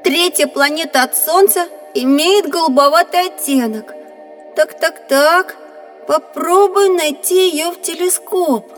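A woman playing a curious, excitable young boy in a children's educational audio drama, wide-eyed and naive. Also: very high voice, a little slow, soft background music. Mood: puzzled.

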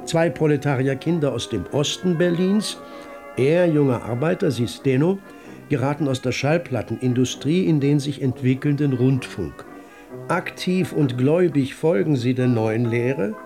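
A grave male narrator played by an actor tells a literary radio drama. His voice is mellow and mid-pitched, with faint music behind it. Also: moderate pace, natural voice. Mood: grave narration.

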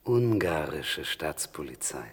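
An actor playing a curt, menacing state policeman in his seventies in a radio play, halting and officious. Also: a bass voice, big pitch swings, slightly rough, exaggerated voice. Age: 70s. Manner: halting, officious, echoing questions. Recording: reverberant hall, slightly muffled voice.

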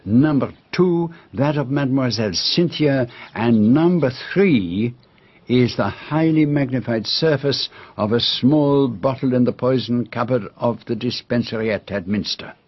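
An actor playing a shrewd, grave Belgian detective with a French-speaking Belgian accent, speaking deliberately and theatrically in a radio drama. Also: male voice, medium pitch, husky. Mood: shrewd, probing. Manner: deliberate, theatrical, precise phrasing. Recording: muffled radio drama recording.

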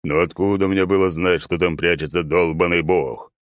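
Male reader as fantasy audiobook father god of the trees, deep, ponderous, weary and irritable, dry sound. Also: fairly even intonation, no echo, lightly acted. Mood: indignant, defensive. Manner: slow, heavy, exasperated.

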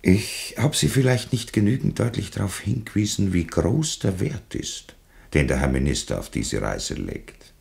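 A patronising Austrian bureaucrat in a radio play. The voice is extremely deep, full of gravelly creak, and slides expressively up and down. He is unctuous and officious, always insisting. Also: sing-song, moderate pace, a little mellow, slight background noise, heavily acted. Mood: veiled pressure. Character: smooth-talking, patronising male government official, insistent and oily. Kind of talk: German-language radio play dialogue.

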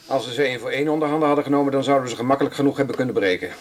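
A quick-talking, guarded policeman played by a male actor in a radio drama. He is matter-of-fact and deferential, on a noisy, clicky recording. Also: mid-range voice, lightly acted. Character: deferential but candid male police colleague.

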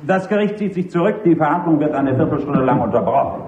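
An actor playing a presiding court-martial judge in a radio drama, formal, procedural and authoritative. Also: male voice, a little high, expressive, fast, close to an everyday voice. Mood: formal, stern. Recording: echoey, noisy, muffled old radio recording.

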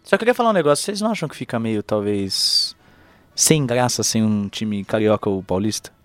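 A young man with a São Paulo accent, leading a casual student radio football debate with quick, teasing remarks. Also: lowish voice, expressive, dry recording. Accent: São Paulo Portuguese. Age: young adult. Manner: quick, teasing, leads the talk.

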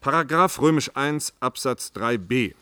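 A man's voice: mid-range voice, animated, a little slow, bright, forceful tone, clean tone, dry recording, studio quality. A man playing an officious, stubborn government official, formal and pedantic, in a German radio play. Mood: reproachful.

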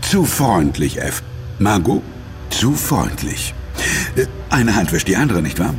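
An actor playing an imperious corporate executive in an audio drama, formal and smug, quick to fawn on superiors. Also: male voice, lowish voice, big pitch swings, faint music behind. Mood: smug, ingratiating. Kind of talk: German audio drama acting.

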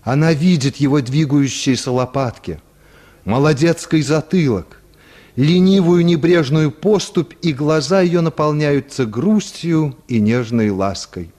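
Slow, measured male narrator. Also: on the high side, animated, mellow. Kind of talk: literary scripted narration in a radio play.

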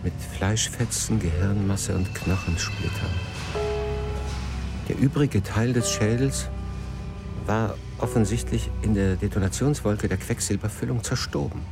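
An actor playing a writer who narrates his own story in a radio play, in a measured, literary manner. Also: lowish voice, creaky, over loud music. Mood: grave. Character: male first-person narrator, a writer turned would-be marksman.

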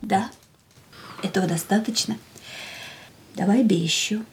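A woman's voice: mid-range voice, unhurried, slight background noise, close to an everyday voice. An actress in a radio play playing an anguished but resolute woman leaving the man she loves, earnest and pleading. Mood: defensive. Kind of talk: Russian radio play acting.

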